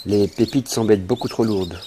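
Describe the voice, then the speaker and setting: An actor playing a menacing, mocking brigand leader who bosses and sneers, in a French-dubbed cartoon. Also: male voice, lowish voice, moderate pace, mellow, natural voice. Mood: commanding. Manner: bossy, threatening, sneering. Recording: faint noise, birdsong behind.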